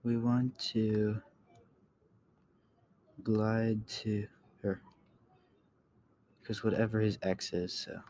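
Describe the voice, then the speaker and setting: Low, slow, halting man over noise. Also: steady tone, darkish tone. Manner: halting, thinking aloud, often trailing off. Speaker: tutorial host. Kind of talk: casual screen-recorded coding tutorial. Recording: clear background noise, keyboard clicks.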